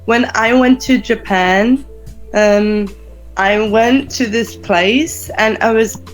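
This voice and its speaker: A young Englishwoman, a teacher asking interview questions in a slow, patient, encouraging way. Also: fairly high pitch, faint music behind, rough recording. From England. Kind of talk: casual conversational interview over a call.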